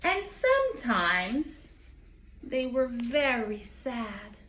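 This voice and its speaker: A woman with a high, very lively voice reads a picture book aloud. She takes her time, leaning into dramatic pauses and expressive phrasing for young listeners. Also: slight background noise. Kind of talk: children's story read aloud. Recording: roomy echo.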